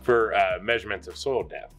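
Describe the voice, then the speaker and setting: A man's voice: lowish voice, fairly quick, over music. A young man with a Kentucky accent, giving an easygoing, plain-spoken gardening tip at home to a handheld camera. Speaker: homestead vlogger. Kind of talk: casual tip-giving chat. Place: home, indoors. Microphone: selfie camera at arm's length.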